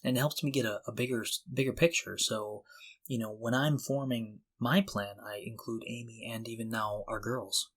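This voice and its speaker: Guy cohosting a podcast, mid pitch voice, just chatting, earnest and kinda enthusiastic. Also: fairly even intonation, moderate pace, a bit bright, slightly rough. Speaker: podcast co-host. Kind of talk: casual conversation.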